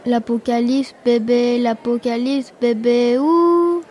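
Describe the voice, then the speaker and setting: A woman's voice: fairly high pitch, steady tone, slow, mellow, soft background music. A woman playing a playful young singer in an audio drama, with a singsong delivery.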